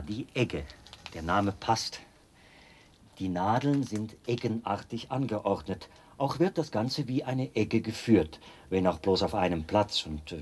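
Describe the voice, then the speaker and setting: Zealous, pedantic colonial officer, low voice. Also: male voice, darkish tone, husky. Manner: talkative, explanatory, pedantic. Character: devoted, zealous male officer of a penal colony. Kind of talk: radio play acting. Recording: noisy binaural radio play recording with clicks.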